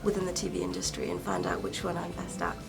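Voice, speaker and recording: Young woman, composed, heavy fry. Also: fairly low pitch, sing-song, fast talker, music in the background. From Wales. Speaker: TV apprentice. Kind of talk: interview answers.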